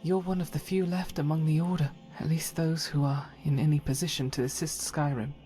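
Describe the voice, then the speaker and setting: A woman voicing a devout, steadfast knight companion in a video game, hushed and earnest. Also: low-pitched, steady tone, fairly quick, faint music behind, lightly acted. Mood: earnest, subdued. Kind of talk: video game follower dialogue.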